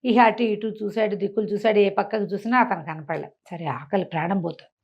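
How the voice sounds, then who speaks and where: A slightly lo-fi recording of a woman. She tells a folk tale in a middle-pitched voice, chatting it out to a listener and slipping into the characters' dialogue as she goes. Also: a little fast, a little mellow. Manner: conversational, acts out dialogue, checks listener follows. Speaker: storyteller. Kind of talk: animated oral storytelling of a moral folk tale to a listener.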